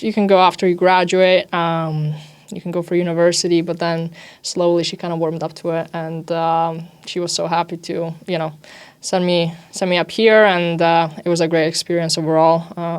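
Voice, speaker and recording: A young woman who grew up in Italy and speaks Italian natively gives relaxed, informal interview answers. Her voice sits a little low for a woman, and she peppers her talk with "like" and "you know" as she describes life as an athlete. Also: smooth. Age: young adult. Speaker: ice hockey defender.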